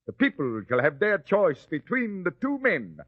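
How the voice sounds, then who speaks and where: A haughty, uneasy Roman governor. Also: male voice, high-pitched, big pitch swings, normal speed. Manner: haughty and condescending, then hesitant. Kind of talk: old-time radio drama acting. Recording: dry, dull lo-fi recording.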